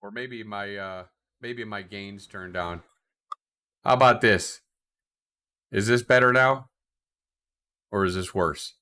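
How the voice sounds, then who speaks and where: A man streaming a game from his recliner at home, reading out viewer comments and answering them in a relaxed, rambling way with lots of fillers and restarts. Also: fairly low pitch, slow pace, pauses often. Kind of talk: casual livestream chat, answering viewer comments. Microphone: desk mic, sometimes too far from it.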